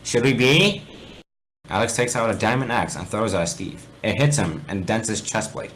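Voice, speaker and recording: A man's voice: fairly low pitch, brisk, a bit bright, slight background noise, rough recording. A man reading fan fiction aloud in an amateur dramatic style, often stumbling and correcting himself. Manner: theatrical, stumbles over words and corrects himself.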